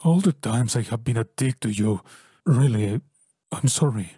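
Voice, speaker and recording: Brief: male actor as a hulking dog brawler, warm dark voice, stumbling over his words. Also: mid-range voice, lively intonation, slightly rough, no echo, clean studio sound. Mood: apologetic. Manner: halting, apologetic. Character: massive male dog fighter, gruff.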